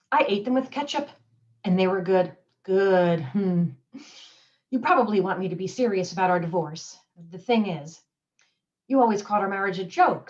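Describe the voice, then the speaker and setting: A woman acting out a stage monologue as a desperate wife clinging to her husband, with deadpan wit and nervous laughs. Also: mid-range voice, muffled recording, lightly acted. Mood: wry. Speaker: community theater actress.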